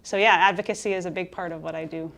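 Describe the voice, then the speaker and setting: A middle-aged woman from Minnesota answers interview questions about her work. Her voice sits a little low, and she sounds upbeat and articulate. She often pauses to think with an um. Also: steady tone, gravelly, a bit bright, a little hiss or hum. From Minnesota, USA. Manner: upbeat, articulate, thinks aloud with frequent ums. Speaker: health insurance executive, guest. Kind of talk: interview conversation.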